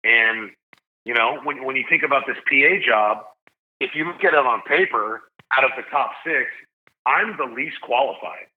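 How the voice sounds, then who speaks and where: A middle-aged man, a sports announcer, telling stories as a podcast guest in an energetic, chatty way, often saying "dude" and "like". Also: medium pitch, moderate pace. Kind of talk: casual storytelling interview. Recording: narrow phone-like sound.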